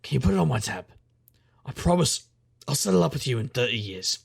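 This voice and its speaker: A man's voice: medium pitch, sing-song, brisk, vocal fry, breathy edge, heavily acted. A man playing a wry, brooding middle-aged magician detective, narrating an audio drama in first person.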